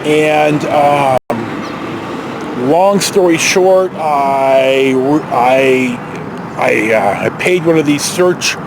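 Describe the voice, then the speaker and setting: High-pitched American man telling personal stories. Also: background noise. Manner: rambling, reflective, halting. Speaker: storyteller vlogger. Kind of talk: casual personal storytelling.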